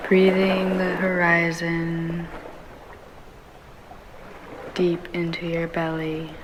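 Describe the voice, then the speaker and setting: A woman leading a guided meditation in a soothing ASMR style, speaking in short unhurried phrases with long pauses. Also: fairly low pitch, completely flat delivery, noisy background. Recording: waves and rain sound clearly behind. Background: ambient ocean waves and rain.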